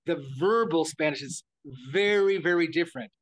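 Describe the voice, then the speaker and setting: An older American man with a high-pitched voice, chatting through an interview. He rambles, stumbling over repeated words and restarting his sentences. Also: normal speed. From United States. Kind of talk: casual interview talk.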